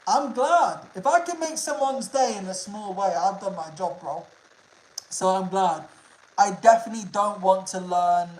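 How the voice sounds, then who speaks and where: A man's voice: high voice, moderate pace. A man from Liverpool with no regional accent, chatting casually on a nature livestream and answering viewers, laid-back and swearing freely. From Liverpool, England. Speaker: nature streamer. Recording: echoey room.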